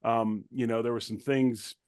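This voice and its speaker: A middle-aged man speaks as a guest on a sports show, in an informal and plain-spoken way. His voice sits at an ordinary male pitch, and the sound is dry with very little room in it. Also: flat delivery, measured pace, darkish tone, smooth, no room sound. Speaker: guest, former football player turned sports commentator. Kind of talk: conversational sports analysis.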